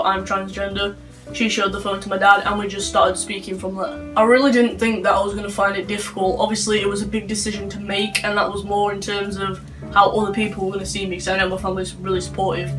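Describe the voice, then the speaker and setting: A young man in his late teens answers questions in a calm, plain way. His delivery stays level, with little rise and fall. He sits back from the mic, and faint music plays underneath. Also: high-pitched, recorded from a distance, poor audio. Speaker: interview guest.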